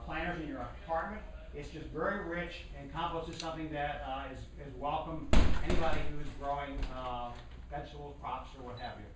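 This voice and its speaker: A man's voice: fairly high pitch, a little hiss or hum. A man giving a slideshow talk at a community meeting, speaking plainly with lots of "again" and "uh". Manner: plain and conversational, repeats "again" and "uh". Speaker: community presenter. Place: meeting room. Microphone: room mic from across the room. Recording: echoey meeting room from far off.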